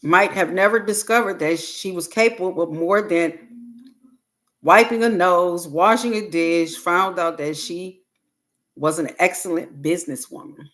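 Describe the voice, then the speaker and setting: A woman reading a self-help book aloud on a livestream, in deliberate, halting phrases with pauses. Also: on the low side, a bit bright.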